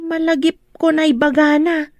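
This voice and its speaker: A woman's voice: sits high, level tone, normal speed, dry recording. A woman with a Filipino accent playing a gossipy, prying neighbour in an audio drama. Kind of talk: Ilocano audio drama acting.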